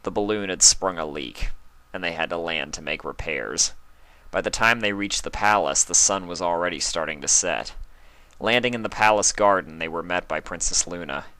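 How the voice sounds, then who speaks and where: Low-voiced man reading a story aloud. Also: moderate pace, sharp tone, dry recording. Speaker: reader. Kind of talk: fan fiction audiobook narration.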